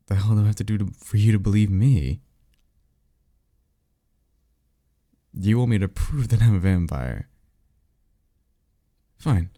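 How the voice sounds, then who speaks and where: A man playing a guarded, secretive vampire in an ASMR roleplay, speaking in a halting, insistent, colloquial and sardonic way. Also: deep voice, mellow, no room sound, studio quality, full character voice. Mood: exasperated. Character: guarded, secretive male vampire offering magical mentorship. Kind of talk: ASMR roleplay audio drama.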